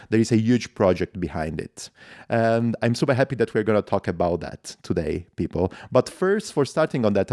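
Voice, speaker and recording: A man's voice: on the low side, a little mellow, no echo, studio quality. A man with an Italian accent and native Italian, hosting a casual interview in an effusive, informal way. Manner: effusive, informal, frequent intensifiers. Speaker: podcast host, interviewer.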